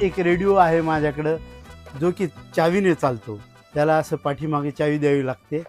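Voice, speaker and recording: A man's voice: a little high, steady tone, normal speed, over music. A man talks informally as a collector, explaining his items in short phrases. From India. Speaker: radio collector being interviewed.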